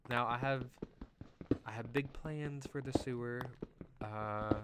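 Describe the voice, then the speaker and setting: A nasal-voiced man, still recovering from an illness, chatters through a game stream in an easygoing, excitable way. He sits at a middle pitch and fills his talk with "like" and "you know". Loud background noise runs under his voice the whole time. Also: slow pace. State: just getting over an illness. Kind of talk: casual live gameplay commentary.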